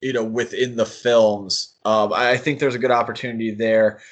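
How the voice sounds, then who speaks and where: A man's voice: mid-range voice, fairly even intonation, normal speed. A man co-hosting a podcast, thinking aloud in a casual fan discussion and often qualifying what he says. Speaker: podcast co-host.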